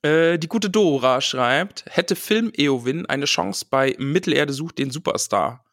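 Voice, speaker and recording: A man's voice: a little high, animated, unhurried, a bit bright, dry recording, clean studio sound. A man co-hosting a casual podcast, slightly nasal and stuffed up from a cold, who laughs a lot and reads out listener messages. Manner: laughs often, playful banter. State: has a cold, stuffy nose, sore throat. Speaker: podcast co-host.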